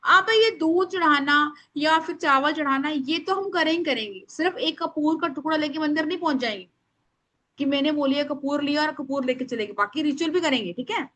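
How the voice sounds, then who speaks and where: A woman with a loud, strong voice, teaching an informal live online class in an instructive, emphatic way, mixing in English words. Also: high-pitched. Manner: instructive, emphatic, addresses listeners by name; mixes English words into speech. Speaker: astrology remedies teacher.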